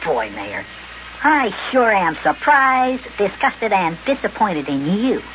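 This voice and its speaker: Indignant, folksy elf boy, very animated pitch, vintage radio serial with muffled sound. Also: slow pace, exaggerated voice. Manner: grumbling, exclamatory, folksy. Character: Sparkie, indignant squeaky little boy elf. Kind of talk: 1950s children's radio serial acting. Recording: dull, noisy old radio recording.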